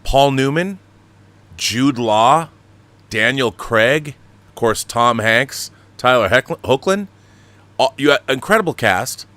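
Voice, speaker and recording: Bright, forceful male interviewer. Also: mid-range voice, expressive, slow, no echo. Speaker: host, interviewer. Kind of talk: conversational interview.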